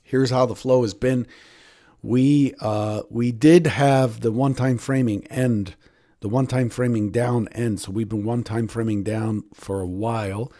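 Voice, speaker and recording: A man, a professional trader and show host, giving daily market analysis in a hoarse voice, clearing his throat often. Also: medium pitch, measured pace, no echo, clean studio sound. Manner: steady analytical talk with frequent throat clearing.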